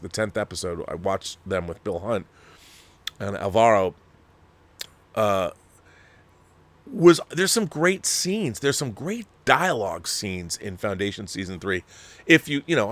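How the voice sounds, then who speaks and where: A man's voice: medium pitch, fairly bright tone, dry recording. A man hosting a casual film discussion, giving emphatic, opinionated commentary in a rambling way, often breaking off to rephrase. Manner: rambling, emphatic, halting mid-sentence and rephrasing. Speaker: host of a film discussion show. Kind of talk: casual opinionated commentary, reading viewer letters.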